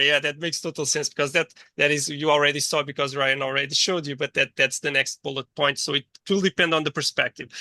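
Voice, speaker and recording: Bright, dry-voiced man lecturing, thinking aloud. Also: a little high, no room sound. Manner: hesitant, thinking aloud with repeated words. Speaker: course lecturer. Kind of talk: interactive online teaching, reading chat replies.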